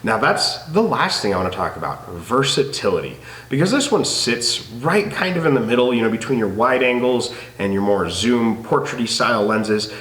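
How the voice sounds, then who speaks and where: A man's voice: medium pitch, expressive, moderate pace, a bit bright, echoey room, slight background noise, poor audio. A man with a nasal, stuffed-up voice from a head cold, chatting enthusiastically to camera about photography gear. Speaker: photography YouTuber. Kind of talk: casual gear talk to camera.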